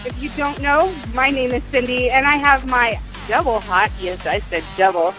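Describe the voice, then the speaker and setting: A woman hosting a radio show, energetic and hyping up her guest as she asks questions. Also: high-pitched, normal speed, soft background music.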